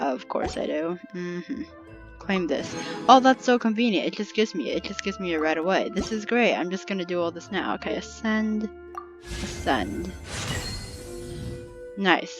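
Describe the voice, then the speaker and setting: A gamer thinking aloud in casual, informal let's play commentary. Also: mid-range voice. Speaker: video game streamer.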